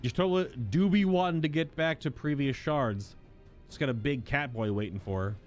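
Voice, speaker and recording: A man's voice: a little high, moderate pace, soft background music. A man giving casual live-stream commentary on a game's story, chatting and speculating in asides between lines he reads aloud.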